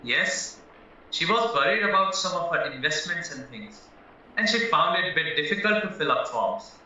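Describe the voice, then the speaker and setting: A man acts out a young murder suspect in an audio drama, frank but flustered, hesitating and protesting earnestly. His high voice swings animatedly. It sounds distant and very echoey, with music behind. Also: lively intonation, normal speed, bright, forceful tone, dull audio. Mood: candid, explaining. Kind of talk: stage-style courtroom audio drama acting.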